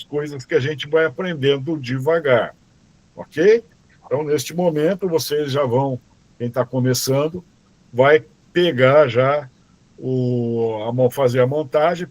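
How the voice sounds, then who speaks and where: A man teaching a robotics class online, explaining code slowly and patiently, often checking in with "tá?" and "ok?" and correcting himself as he reads commands aloud. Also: a little high, darkish tone, no room sound. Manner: restarts and self-corrections while reading code aloud.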